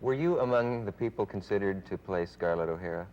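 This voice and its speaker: A man's voice: fairly low pitch, a little fast, a little mellow. A man hosting a talk show, asking curious questions and giving many short agreeing replies. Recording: dull lo-fi 1970s TV audio.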